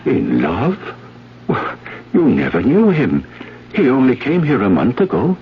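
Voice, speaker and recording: An actor playing a stern, fussy village priest in a 1970s radio drama, hesitant and admonishing. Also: male voice, mid-range voice, huge pitch swings, measured pace. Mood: shocked, admonishing. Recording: dull, noisy 1970s radio broadcast.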